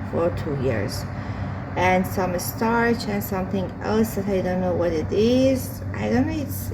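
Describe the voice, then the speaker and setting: A hoarse woman talking through her day in a casual home vlog, slow and hesitant with many fillers as she cooks. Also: fairly low pitch. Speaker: vlogger. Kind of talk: casual daily vlog while cooking. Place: home kitchen with windows open. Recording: home recording with outside traffic noise. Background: street and vehicle noise from outside.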